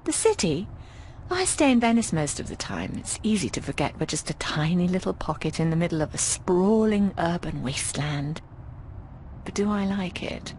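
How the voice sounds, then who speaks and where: A woman's voice: fairly low pitch, sing-song, normal speed, darkish tone, slight background noise. An actress playing a worldly, teasing middle-aged landlady in a video game, breezy and wry, with a theatrical drawl. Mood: warm, musing. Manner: theatrical, drawling, calls people darling. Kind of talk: video game dialogue acting.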